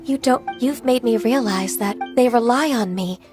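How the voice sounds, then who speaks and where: An actress playing a gentle, polite, earnest young-sounding secretary in an anime game. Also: female voice, fairly high pitch, moderate pace, close to an everyday voice. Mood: moved. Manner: soft-spoken, earnest, courteous. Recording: music playing behind.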